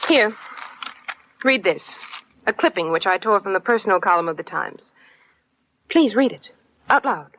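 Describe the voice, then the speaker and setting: A dull old radio recording carries a refined society woman in a detective mystery. Her voice is husky and breathy, and her delivery is genteel, anxious and theatrical, in vintage radio drama style. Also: mid-range voice, expressive, moderate pace, pauses often, a bit bright. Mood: coy, expectant.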